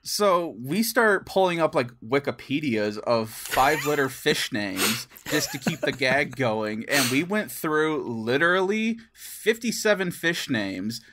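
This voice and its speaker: A man's voice: fairly high pitch, lively intonation, a little slow, hardly any pauses, noisy background. A man co-hosting a podcast, telling stories in casual gaming chat, chuckling and acting out what people said. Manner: chuckles through stories, acts out quoted dialogue. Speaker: podcast co-host.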